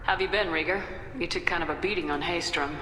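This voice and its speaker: A woman's voice: fairly low pitch, steady tone, fast talker, pushed and bright, a little hiss or hum. A woman playing a confident, caring military commander in a video game, direct in manner. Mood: probing. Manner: direct, probing questions. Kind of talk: video game dialogue acting. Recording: echoey hall, music behind.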